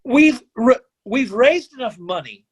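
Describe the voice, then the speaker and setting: An actor in a readers theatre piece playing an idealistic father in midlife who speaks haltingly and persuasively. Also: very high-pitched, lively intonation, breathy edge, full character voice. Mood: eager, persuasive. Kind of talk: staged readers theatre reading.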